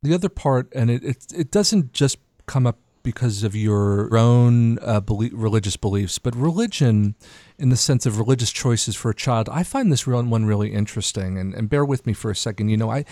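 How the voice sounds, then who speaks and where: A middle-aged man hosting a podcast interview, animated and joking, thinking aloud with frequent restarts. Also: mid-range voice, moderate pace, few pauses, no room sound. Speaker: podcast host.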